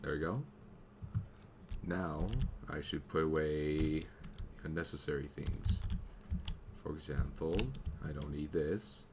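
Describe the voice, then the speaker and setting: A young man with a slight cold talks through his gameplay in a very low, unhurried voice, narrating each small step as it happens. The home recording has clear background noise and the click of a keyboard. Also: little pitch movement. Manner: easygoing, thinking aloud while playing. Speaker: gameplay commentator. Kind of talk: casual let's play commentary.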